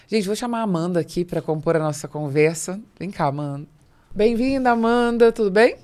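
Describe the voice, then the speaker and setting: A woman's voice: lowish voice, expressive, brisk, darkish tone. A middle-aged Brazilian woman hosting a business podcast, explaining her points emphatically with repetition for stress. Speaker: podcast host. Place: small padded podcast studio. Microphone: close studio mic on boom arm.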